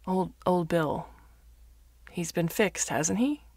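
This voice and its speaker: A woman's voice: on the low side, takes it slowly, a little mellow, no echo. A woman playing a courteous, slightly anxious young male country vet in a storybook reading, polite and hesitant.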